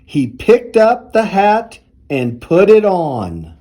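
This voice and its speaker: A man reading a children's storybook aloud in a deliberate, expressive storytelling manner. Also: high voice. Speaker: university athletics director reading aloud.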